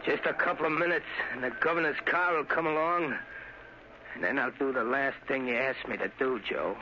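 A man's voice: medium pitch, a little fast, close to an everyday voice. An actor playing an unstable young killer in an old-time radio thriller, agitated, slangy and childlike in his obsession. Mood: grim, obsessed. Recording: noisy, muffled vintage radio recording.

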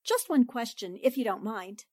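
A woman's voice: a little high, animated, darkish tone, no echo, studio quality. A woman playing a poised, inquisitive newspaper reporter who speaks politely and precisely, in 1940s radio drama style. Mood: probing. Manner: polite, precise, deliberate questioning.

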